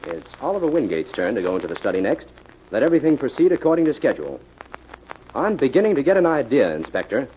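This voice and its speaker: An actor playing a shrewd, commanding detective in a 1940s radio mystery. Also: male voice, mid-range voice, big pitch swings, quick pace, lightly acted. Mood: thoughtful, firm. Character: Jim, shrewd, methodical, commanding male detective hero. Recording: noisy, muffled old-time radio recording.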